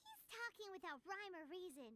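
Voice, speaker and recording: A woman voicing a tiny floating female fairy companion in a video game, with a very high, squeaky, excitable delivery. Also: fairly quick, heavily acted. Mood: teasing. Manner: excitable commentator.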